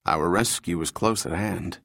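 A steady, hardened male operative, read by a male narrator, speaking low and even. The voice is deep and dry, close to the mic with no room around it. He keeps his words quiet and controlled. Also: measured pace, creaky, no room sound, full character voice. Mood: reassuring. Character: tough middle-aged male operative, calm and steady.

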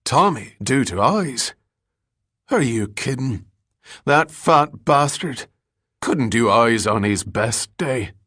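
A man's voice: medium pitch, animated, slow pace, fairly bright tone, breathy edge, no echo, clean studio sound, voice pushed up, heavily acted. A man voicing a ragged, dazed drifter in an audiobook story, bitter and rambling, with repetitive, slangy street talk. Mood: scornful, agitated.

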